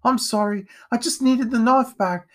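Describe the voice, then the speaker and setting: Man voicing a pleading, high-pitched poor young boy. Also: steady tone, slow pace, a bit bright. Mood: distraught. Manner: uneducated, ungrammatical speech. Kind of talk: audiobook character voice.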